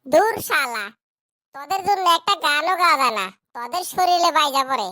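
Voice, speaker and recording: A woman voicing a sulky, mischievous schoolboy in a cartoon, rough-spoken. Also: high-pitched, lively intonation, brisk, pushed and bright, dry recording, studio quality. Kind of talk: cartoon dub acting.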